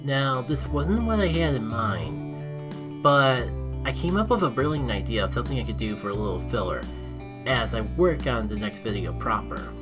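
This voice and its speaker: Expressive male storyteller over music. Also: medium pitch, normal speed. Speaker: video creator and storyteller. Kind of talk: casual intro, then reading own written story aloud.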